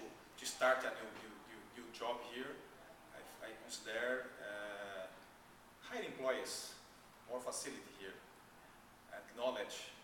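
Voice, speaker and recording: Halting man in a very echoey room. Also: medium pitch. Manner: halting, searching for words in limited English. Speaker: panelist. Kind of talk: unscripted panel talk. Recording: very echoey room, distant, noisy.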